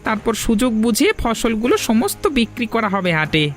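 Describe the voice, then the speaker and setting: Cartoon thief's sidekick , male actor: high, very animated, scheming, cocky and eager. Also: brisk, faint music behind. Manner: scheming, self-assured. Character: sly, eager crop-thieving henchman. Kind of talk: Bengali cartoon voice acting.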